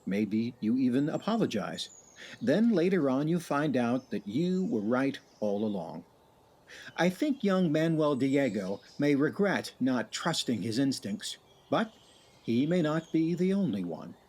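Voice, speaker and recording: A man narrating and hosting a community radio play in a measured, wry storytelling manner. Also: mid-range voice, very animated, a little mellow, breathy edge. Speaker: narrator and show host. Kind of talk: scripted radio drama narration.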